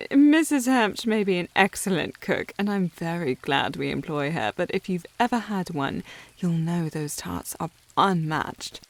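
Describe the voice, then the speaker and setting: A woman's voice: on the low side, lively intonation, takes it slowly, dry recording. A woman playing a haughty young upper-class lady in a historical audio roleplay, formal and teasing in manner. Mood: conversational, confiding.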